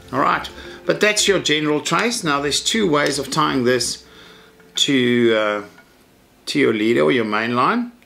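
A man's voice: mid-range voice, vocal fry, a bit bright. A middle-aged man, teaching a fishing rig step by step in a practical, matter-of-fact way from an indoor tackle room. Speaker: fishing instructor. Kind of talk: hands-on tutorial.

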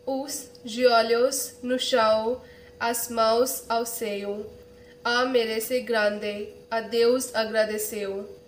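Young woman, unhurried verse reading, echoey room with slight noise. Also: on the high side, fairly even intonation. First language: not Portuguese. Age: young adult. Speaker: poetry reader. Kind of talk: epic verse read aloud. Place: small room indoors.

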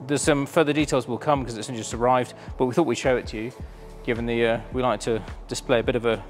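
A man's voice: medium pitch, fairly even intonation, fast talker, soft background music. A man, chatty and enthusiastic, presenting a car auction preview in a large warehouse showroom. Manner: enthusiastic, chatty, filled with ums.

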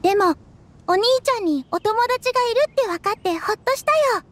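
A woman playing a gentle, caring young village girl, a younger sister, softly spoken in an anime game. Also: very high voice, lively intonation, brisk. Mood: gentle concern.

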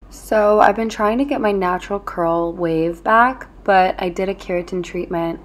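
A woman's voice: mid-range voice, moderate pace. A young woman chatting casually and informally to viewers as a beauty vlogger, sprinkling her talk with "like". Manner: informal, chatty, frequent "like" and "you know". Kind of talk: casual chat to viewers while doing beauty routine. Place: at home in an apartment.

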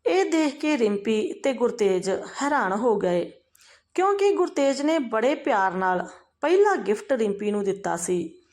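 A woman reading a scripted, emotional family story in an expressive, dramatized way. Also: a little high, measured pace.

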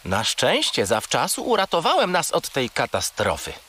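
A childlike, greedy furry creature in a children's radio play. It is plaintive and melodramatic, with a forceful, bright tone and wildly swinging pitch. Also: male voice, huge pitch swings, normal speed, exaggerated voice. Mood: matter-of-fact. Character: small furry toy companion, whiny, greedy, dramatic, childlike. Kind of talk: children's audio drama acting.

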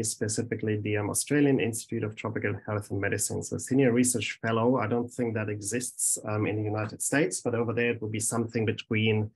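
A man's voice: on the low side, breathy edge. A man in his thirties with a German accent, giving a hesitant academic talk over a video call from a small office, with many ums and restarts. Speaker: research scientist.

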